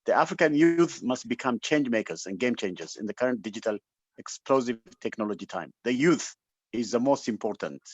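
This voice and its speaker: A man's voice: medium pitch, animated, fairly quick, dry recording. A man with an Ethiopian accent giving an impassioned, unscripted motivational address as a webinar speaker, emphatic and exhortative, often repeating himself for weight. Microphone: online call microphone. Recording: dull, lo-fi webinar audio.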